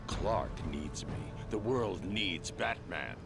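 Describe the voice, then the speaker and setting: An actor playing a grim, determined vigilante superhero in a video game, gravelly, deliberate and intense. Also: male voice, low-pitched, expressive, over loud music, exaggerated voice. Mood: grim resolve. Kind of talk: video game cutscene acting.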